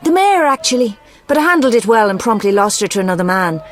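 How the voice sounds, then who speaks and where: A woman's voice: medium pitch, very animated, fast, lightly acted. An actress playing a flirtatious, superstitious lady gambler in a video game, with a florid, coquettish and dramatic delivery. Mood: dramatic, troubled.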